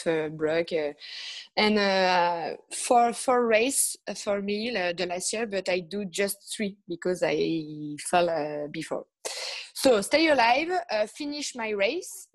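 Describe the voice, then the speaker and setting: A woman with a French accent, not a native English speaker, answering interview questions in halting English with many pauses and fillers. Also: mid-range voice. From France. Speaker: guest, motorcycle racer. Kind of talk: casual interview chat.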